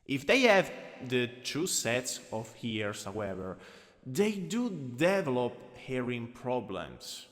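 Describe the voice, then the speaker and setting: Male actor as teasing anatomy teacher, Italian accent, lively swooping pitch, ASMR roleplay, unhurried, softly commanding. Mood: instructive, playful. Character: lowkey dominant, teasing anatomy lecturer showing off an assistant. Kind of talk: ASMR roleplay, lecturing to a class. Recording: long reverberant hall echo.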